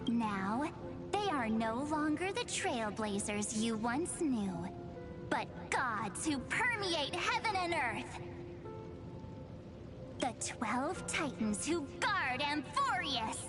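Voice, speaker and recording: High, animated, theatrical young heroine. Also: female voice, takes it slowly, heavily acted. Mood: solemn, theatrical. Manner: theatrical, expressive. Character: playful young woman posing as a mysterious goddess. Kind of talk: anime-style game voice acting. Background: music.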